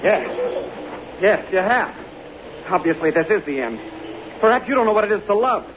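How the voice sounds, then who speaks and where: A bitter, lovesick murderer, high-pitched and desperate, in melodramatic old radio style. The sound is muffled and slightly noisy. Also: male voice, very animated, normal speed. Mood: bitter, despairing. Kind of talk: tense, melodramatic 1950s radio drama acting.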